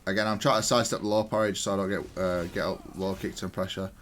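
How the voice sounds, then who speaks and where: A man's voice: sits low, level tone, quick pace, fairly bright tone, a little hiss or hum. A man giving casual, matter-of-fact commentary as he analyses a video game match, explaining each move. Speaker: gaming content creator.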